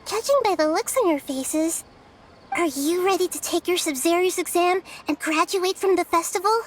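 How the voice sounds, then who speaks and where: Little girl goddess: very high voice, wise, gently teasing, measured game dialogue, faint noise behind. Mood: playful. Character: small girlish deity, wise, gentle, playful teacher. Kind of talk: video game cutscene acting.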